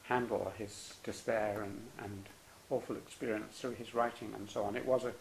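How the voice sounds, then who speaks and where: Gravelly-voiced polite male interviewer. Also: on the low side, level tone, moderate pace, slight background noise, low-quality recording. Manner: polite and hesitant, many ums and soft "mm"s. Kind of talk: oral history interview.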